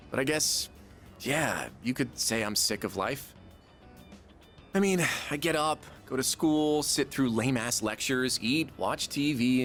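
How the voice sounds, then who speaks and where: An actor playing an excitable, goofy high school slacker in a video game, slangy and melodramatic. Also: male voice. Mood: fed up.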